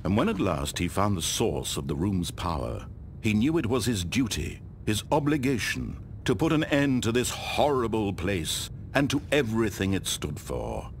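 A man's voice: fairly low pitch, very animated, moderate pace, gravelly, a little hiss or hum. A man playing an omniscient, theatrical storyteller in a video game, narrating a hero's fate in carefully enunciated, storybook style. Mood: solemn resolve.

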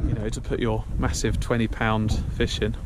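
A man's voice: on the low side, monotone, moderate pace. A man chatting casually as a fishing vlogger, upbeat and thinking aloud, on a small boat at sea. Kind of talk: casual on-the-spot vlog narration. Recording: loud wind and water noise on an outdoor boat mic.

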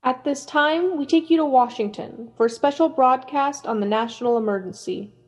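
A woman's voice: high-pitched, normal speed, darkish tone. A woman playing a businesslike studio announcer, formally relaying emergency bulletins in a radio play. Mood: businesslike urgency. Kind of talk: scripted radio drama announcing.

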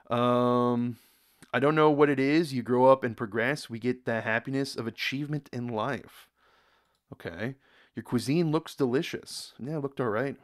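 A young man from the United States hosting a casual reaction video, rambling, repeating words and reading comments aloud from a dim room. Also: mid-range voice.

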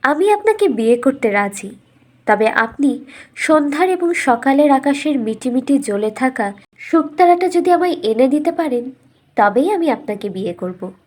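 A woman's voice: high voice, lively intonation, moderate pace, darkish tone, pitched above the performer's own voice. A woman voicing a bold, composed young princess in a fairytale cartoon, speaking firmly and resolutely. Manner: firm, resolute.

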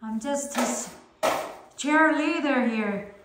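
A woman walking through a room being renovated, chatting in a halting way and cheering on her husband's work, filming on her phone. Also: high voice. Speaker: vlogger showing home renovation. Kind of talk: casual home renovation walkthrough. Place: bare room under renovation, indoors. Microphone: phone held while filming. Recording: echoey empty room with background noise.

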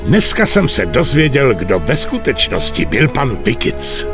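An actor playing a shrewd, self-assured middle-aged mafia boss in a video game, measured and authoritative. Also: male voice, mid-range voice, huge pitch swings, music in the background. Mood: wry. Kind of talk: video game cutscene acting in Czech.

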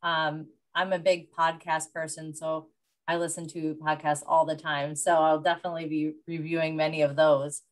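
Low-voiced woman moderating a video call. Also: flat delivery, moderate pace. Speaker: event moderator. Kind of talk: wrap-up remarks on a video call. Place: room with bookshelves.

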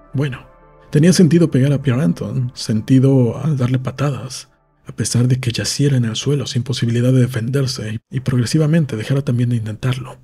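A man's voice: medium pitch, dark tone. A man reading a novel aloud as scripted audiobook narration for a podcast. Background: faint music.

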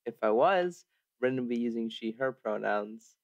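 The sound is a little dull and lo-fi. A man with a middling pitch banters as a game podcast player, joking in a hesitant, casual way. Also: lively intonation, normal speed. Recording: slightly dull microphone sound.

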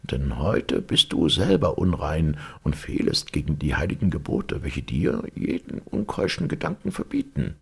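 A man's voice: rumbling low, wildly swooping pitch, moderate pace, creaky, few pauses, husky, heavily acted. A man voicing a humble, polite old country god in a storybook, apologetic and deferential. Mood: humble, admonishing.

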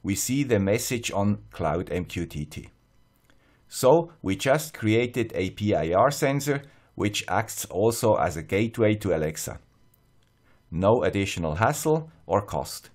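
A man with a fairly low voice and a Swiss accent narrates a scripted tutorial. He explains things step by step in a measured, plain-spoken manner. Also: very animated, a little mellow. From Switzerland. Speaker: host of an electronics tutorial channel.

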